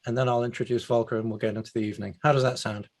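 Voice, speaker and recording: A man's voice: mid-range voice, flat delivery, very fast. A man from the UK hosting an online meetup over a video call, chatty and self-deprecating. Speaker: meetup host. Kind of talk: casual online meetup chat.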